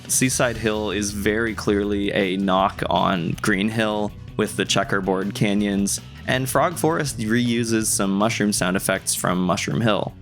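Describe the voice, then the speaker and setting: A young man narrating a scripted video essay in a conversational, casually enthusiastic way, with casual asides. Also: fairly low pitch.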